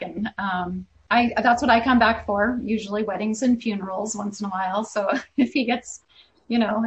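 Easygoing woman guest, mid-pitched. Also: dull audio. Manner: easygoing, many fillers. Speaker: actress guest. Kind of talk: casual interview chat.

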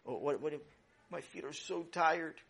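A man's voice: fairly high pitch, measured pace, vocal fry, a bit bright, husky, exaggerated voice. A man doing a tired, whiny boy.

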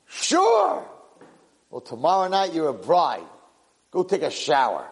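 A man's voice: on the high side, wildly swooping pitch, takes it slowly, big-room sound, pitched above the performer's own voice, heavily acted, poor audio. A man voicing a cruel, mocking young male master in a told story, bossy and taunting.

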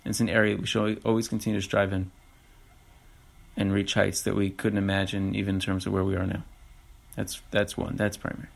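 A man speaks alone into a podcast mic in a low, gravelly voice. He thinks aloud and backs up often to restart his sentences. He talks in a reflective, unhurried way. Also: monotone. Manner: halting, many restarts and fillers. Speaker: podcast host. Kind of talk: reflective solo podcast talk.

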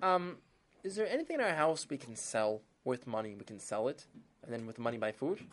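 A woman voicing a poor, gentle husband who speaks haltingly and hesitantly. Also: rumbling low, expressive.

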